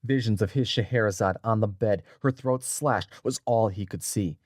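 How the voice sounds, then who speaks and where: Low-voiced male audiobook narrator. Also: normal speed, darkish tone, dry recording, clean studio sound. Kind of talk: scripted dramatic story narration.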